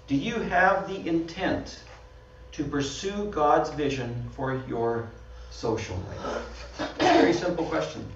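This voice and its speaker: Canadian pastor preaching in a roomy hall. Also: male voice, fairly high pitch, takes it slowly, slight background noise. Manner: conversational, self-deprecating, laughs often. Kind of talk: sermon with slides. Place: small church hall. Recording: dull, roomy sound from far off.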